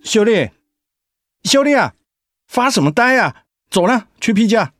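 A man's voice: high voice, sing-song, unhurried, fairly bright tone, soft background music, heavily acted. A man playing a doting, practical retired husband and grandfather with a Taiwanese Mandarin accent in a radio drama. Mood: brisk, practical.

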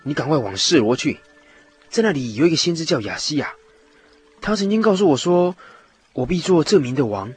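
A man's voice: fairly high pitch, animated, a little fast, pauses often, slightly rough. An actor playing an anxious, scheming king and father, speaking with dramatic urgency in a radio drama. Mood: urgent, instructing. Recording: music behind the voice.

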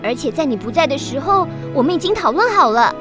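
Bossy older sister, high voice, scolding yet caring, children's radio drama, music behind. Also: female voice, big pitch swings, fast. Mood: soothing.

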